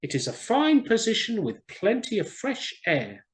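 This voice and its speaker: A man's voice: high voice, huge pitch swings, a little slow, voice pushed up, exaggerated voice. A man voicing a small, vain, self-important swallow in a storybook, with a fussy, exclamatory way of talking. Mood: pleased, chirpy.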